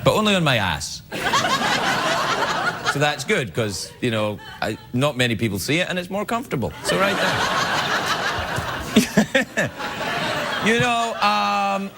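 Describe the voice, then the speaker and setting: A man's voice: a little high, big pitch swings, a little slow, long pauses. A middle-aged Scottish man hosting a late-night show, delivering a wry, self-mocking comedy monologue to a studio audience. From Scotland. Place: television studio with live audience. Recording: loud audience noise behind.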